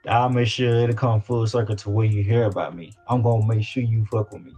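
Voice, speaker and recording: Man, deep-ish voice, Southern US accent, informal, slangy, repetitive phrasing, casual interview. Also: fairly even intonation, fairly quick, warm tone, husky, highs cut off, faint music behind. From Louisiana. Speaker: independent rapper guest.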